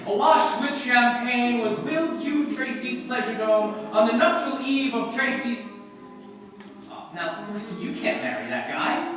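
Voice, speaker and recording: A man's voice: extremely high pitch, animated, measured pace. A man acting in a radio play as a tipsy, earnest and cynical writer, rambling loosely. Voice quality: loose, rambling drunken delivery with hiccups. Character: tipsy, earnest American male magazine writer, smitten and cynical. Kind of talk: live old-time radio play acting. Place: live stage performance in a hall. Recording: distant echoey hall, faint noise.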